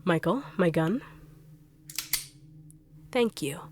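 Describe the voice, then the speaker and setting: A woman voicing a greedy bodyguard turned villain who taunts with cold, quiet menace. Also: fairly low pitch, animated, drawn-out words. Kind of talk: audio roleplay acting.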